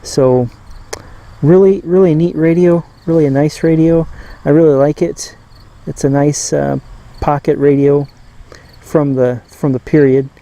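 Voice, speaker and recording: Male hobbyist host, soft mellow voice, informal show-and-tell, halting delivery that thinks aloud. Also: a little high, steady tone, vocal fry, low-quality recording. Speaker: host of a radio collecting channel. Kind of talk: casual hands-on gadget demonstration.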